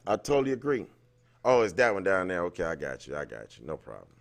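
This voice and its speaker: A man's voice: sits low, big pitch swings, quick pace, dry recording. A man hosting a casual livestream, rambling along and often repeating his phrases. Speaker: livestream host. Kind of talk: casual livestream chat, greeting viewers. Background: music playing.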